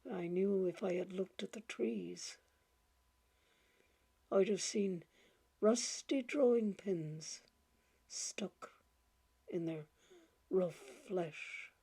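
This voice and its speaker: A woman reading a short story aloud in a gentle, halting way, repeating words and laughing softly as she goes. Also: mid-range voice, gravelly, husky. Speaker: audiobook reader.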